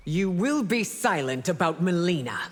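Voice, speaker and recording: Imperious empress in long reverb. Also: female voice, on the low side, very animated, normal speed. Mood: stern, cold. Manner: imperious, formal. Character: stern, haughty female ruler of a fantasy realm. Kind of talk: fighting game character dialogue. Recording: long reverb, faint noise.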